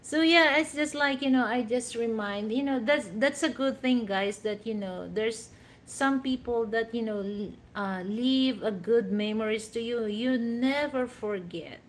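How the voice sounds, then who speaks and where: Filipino woman chatting slowly on a livestream. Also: on the high side, slight background noise. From Philippines. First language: a Philippine language other than Tagalog. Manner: rambling, many "you know" fillers. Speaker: livestream host. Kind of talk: casual livestream chat.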